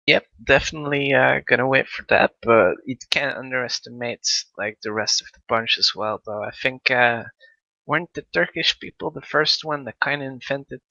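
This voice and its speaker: A man casts a live game match over a dull, lo-fi mic. His voice is very bright and edgy, and it sounds dry and close. He talks casually and fills gaps with lots of ums and uhs. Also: mid-range voice, gravelly, no echo. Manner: chatty, many filler words, thinking aloud. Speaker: esports commentator.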